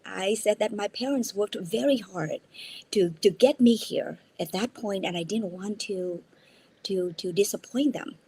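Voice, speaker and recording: A woman's voice: medium pitch, unhurried, mellow, breathy edge. A jet-lagged woman in her fifties from Vietnam, a native Vietnamese speaker, telling her story earnestly as an interview guest on a video call from her mother's house. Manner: earnest, restarts words, tags sentences with "right?". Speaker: guest entrepreneur. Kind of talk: casual interview.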